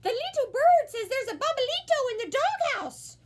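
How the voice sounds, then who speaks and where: A woman voicing an excitable, boastful little boy kitten in a children's storybook, with a theatrical, defiant delivery. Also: very high voice, moderate pace, a little hiss or hum, voice pushed up. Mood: defiant, excited. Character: excitable little boy kitten who believes he's a chihuahua.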